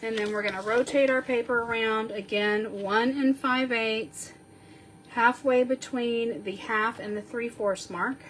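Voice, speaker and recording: A woman sniffling a little as she slowly talks through a card-making tutorial, counting measurements aloud while she works. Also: on the high side, steady tone, a little hiss or hum, poor audio. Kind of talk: step-by-step crafting tutorial.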